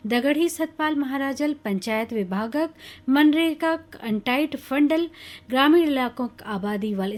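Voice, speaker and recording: A woman with a Kumaoni accent reading a scripted regional news bulletin on the radio. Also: on the high side.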